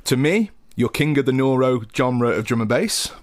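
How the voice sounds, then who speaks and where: A man's voice: medium pitch, lively intonation, moderate pace. A man hosting a podcast, upbeat and chatty, calling his guest mate. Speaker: podcast host.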